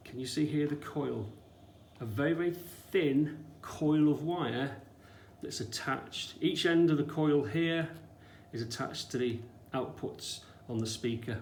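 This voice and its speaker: A man teaching a hands-on science demonstration in an informal, explanatory way, often checking that listeners follow with short questions. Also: mid-range voice. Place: indoors in an echoey room. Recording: echoey room with faint background noise.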